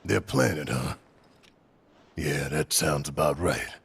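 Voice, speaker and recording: An actor playing a gruff, laconic, thoughtful man with a gravelly voice in a video game. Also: rumbling low, expressive, slow pace, fairly bright tone, heavily acted. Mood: pensive. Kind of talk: video game cutscene acting.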